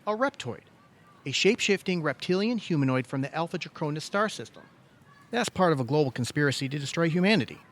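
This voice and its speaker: A man playing an earnest, hesitant, credulous brother in a comedic audio play, tentative but easily excited. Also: on the high side, fast talker. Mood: musing, sheepish. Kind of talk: comedic audio theatre acting.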